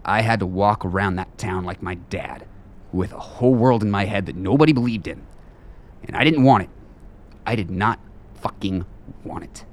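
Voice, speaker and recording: Low-voiced, bitter soldier father played by a man. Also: moderate pace, pauses often, close to an everyday voice. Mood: agitated, frustrated. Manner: blunt, profane. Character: bitter, weary middle-aged soldier father, profane and haunted. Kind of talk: radio play acting.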